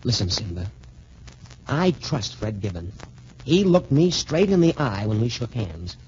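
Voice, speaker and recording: Casting: wholesome college athlete hero, earnest, animated delivery, 1940s radio serial on a dull recording. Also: male voice, mid-range voice, unhurried. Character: earnest, trusting young male student boxer hero.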